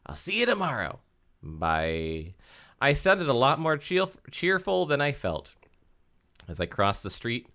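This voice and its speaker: Male podcast banterer with a bright, forceful voice, lively intonation, jokey interjections, casual reading. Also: sits low.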